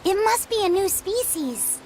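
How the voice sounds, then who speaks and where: Woman voicing a curious earnest young boy, high voice, slow gentle hesitant delivery. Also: sing-song, loud music behind the voice, full character voice, low-quality recording. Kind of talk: game dub acting.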